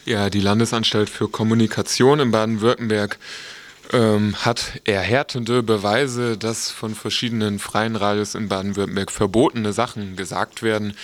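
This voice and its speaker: A man presenting on radio in an informal way. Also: fairly low pitch.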